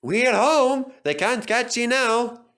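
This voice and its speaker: A man voicing a brave, excitable steam engine in a storybook, with a Scottish accent and emphatic, hearty exclamations. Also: very high-pitched, takes it slowly, voice pushed up, full character voice. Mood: triumphant.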